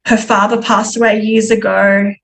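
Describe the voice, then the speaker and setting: Woman, podcast guest, personal storytelling, reflective, candid, halting delivery, mid-range voice. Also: medium pitch, little pitch movement, vocal fry, slight background noise.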